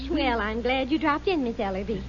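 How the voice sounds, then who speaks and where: An actress playing a patient, gently teasing wife and mother in a 1950 radio sitcom. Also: on the high side, animated, a little fast, dull audio, noisy background, natural voice. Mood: polite. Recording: old radio broadcast with audience laughter.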